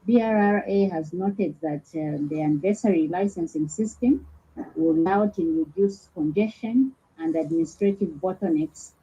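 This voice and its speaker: A woman's voice: low-pitched, warm tone, slightly rough. A woman reading out a formal prepared statement as an agency spokesperson, on a muffled recording. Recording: muffled, dull lo-fi clip.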